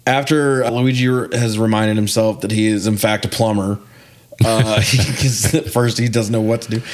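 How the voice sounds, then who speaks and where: A man's voice: fairly low pitch, steady tone, moderate pace. A young man talks casually as a podcast co-host. He recaps a film step by step and nitpicks it in a wry, exasperated way, cursing freely as he goes.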